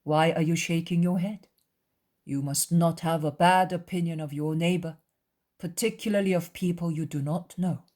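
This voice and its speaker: A woman voicing a gentle, patient male religious teacher in a story, calmly and kindly admonishing. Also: on the low side, normal speed, natural voice. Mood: calm, correcting.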